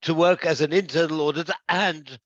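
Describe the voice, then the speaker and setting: A man's voice: fairly high pitch, fairly quick. A middle-aged man, an accountancy tutor, hosting a casual interview over a video call, full of restarts and fillers. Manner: hesitant and warm, lots of restarts and fillers. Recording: dry, slightly dull audio.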